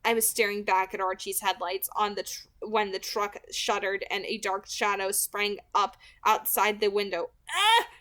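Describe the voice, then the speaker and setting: A woman's voice: mid-range voice, little pitch movement, moderate pace, few pauses, sharp tone. A woman reading a novel aloud as an amateur audiobook narrator, with expression.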